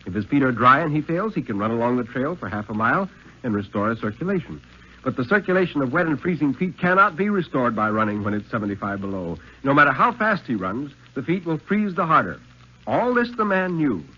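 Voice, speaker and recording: A man reading a thriller story aloud in a 1950s dramatic radio style, with suspenseful, measured phrasing and deliberate pauses. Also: mid-range voice, very animated. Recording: muffled, lo-fi 1950s radio recording.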